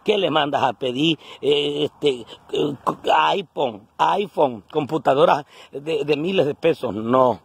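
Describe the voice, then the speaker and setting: A man in his forties with a coastal Mexican accent from Guerrero, vlogging on a handheld phone. His voice sits a bit high for a man and is quite rough and husky. He talks in an earthy, colloquial way, like someone addressing his people back home. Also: slow. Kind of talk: casual selfie vlog monologue to camera. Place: outdoors on a roadside, starting indoors in a house. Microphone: phone at arm's length.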